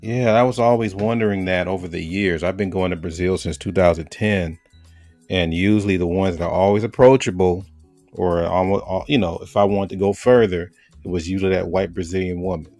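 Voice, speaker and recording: Deep-voiced man, loose rambling host. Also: fairly quick, soft background music. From United States. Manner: relaxed, rambling with fillers and restarts. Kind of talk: casual interview chat.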